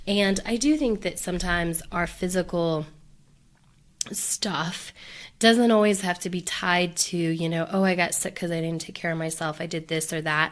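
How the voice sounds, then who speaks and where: A woman hosting her own podcast in a chatty, upbeat way, full of fillers and restarts, her voice deepened by a cold. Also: a bit bright. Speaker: podcast host. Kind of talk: casual solo podcast talk.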